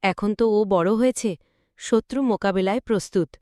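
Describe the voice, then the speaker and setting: A woman's voice: mid-range voice, normal speed, darkish tone, dry recording, studio quality, close to an everyday voice. A woman voicing a worried queen and protective mother in a cartoon story, questioning formally and pleadingly. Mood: concerned. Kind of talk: Bengali cartoon story acting.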